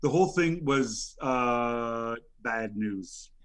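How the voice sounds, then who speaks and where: A man's voice: mid-range voice, lively intonation, takes it slowly, fairly bright tone. A man ranting in an improvised comedy bit, indignant and rambling, stammering through many fillers. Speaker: nominee on a comedy show.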